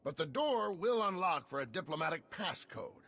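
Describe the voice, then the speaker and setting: An actor playing a gruff, irreverent veteran security chief, blunt and wry in an informal way. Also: male voice, on the high side, big pitch swings, normal speed, pushed and bright, heavily acted. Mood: brisk briefing.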